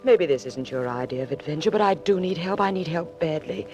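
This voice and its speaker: A woman playing an anxious, poised, secretive lady in distress in a 1940s radio drama, measured and pleading. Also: on the low side, expressive, darkish tone, lightly acted. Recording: dull old radio recording, faint music.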